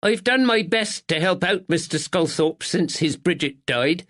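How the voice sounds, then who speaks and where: Guy doing a timid lady, higher voice, lively, worried neighbour. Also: a little slow. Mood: nervous, polite. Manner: timid, dialect "were". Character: anxious middle-aged village woman caring for her mother.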